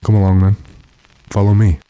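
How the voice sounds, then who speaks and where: A man playing a weary, decisive gentleman master with a dry, commanding manner in an old-time radio drama. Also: lowish voice, monotone, normal speed, muffled recording, very noisy, close to an everyday voice. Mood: resolute.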